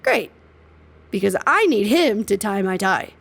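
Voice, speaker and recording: A woman's voice: medium pitch, very animated, measured pace, vocal fry, breathy edge, heavily acted. A woman playing a brash, competitive, teasing staffer in audio drama dialogue, blunt and playfully bossy. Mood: playful, pushy.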